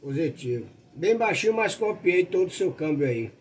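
High-pitched Brazilian man over two-way radio. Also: sing-song, moderate pace. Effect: heard over two-way radio. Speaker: amateur radio operator. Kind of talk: casual two-way radio chat. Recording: faint radio noise.

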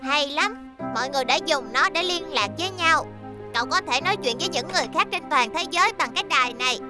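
An actor playing an excitable, proud, playful young child in an animated children's cartoon dub, talking in eager exclamations. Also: high-pitched, quick pace, over music. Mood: excited.

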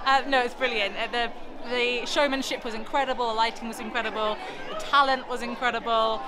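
Woman, excited theatregoer, high voice, crowd behind. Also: brisk, noisy background. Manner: excited, gushing.

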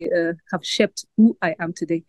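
A woman's voice: fairly low pitch, fairly even intonation, moderate pace, darkish tone, no room sound. Young woman with Swahili-tinged accent. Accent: Swahili-influenced East African. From Uganda. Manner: earnest, thoughtful, many fillers. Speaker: guest journalist. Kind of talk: interview answers. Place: outdoors by a garden. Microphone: video call.

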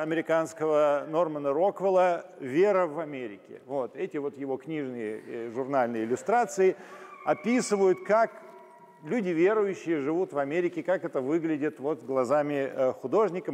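Older guy from moscow, friendly jokey talk, lots of ums, bit high voice, echoey big hall. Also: darkish tone. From Moscow, Russia. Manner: genial, joking, many hesitation sounds. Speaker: guest lecturer. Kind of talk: informal talk to a church audience. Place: large church hall. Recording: long hall reverb.

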